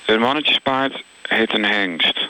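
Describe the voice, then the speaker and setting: An actor playing an awkward, clueless male freelance field reporter in a radio comedy, speaking haltingly as if live on air. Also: on the low side, bright, forceful tone, rough recording. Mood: awkward, earnest. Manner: halting, hesitant.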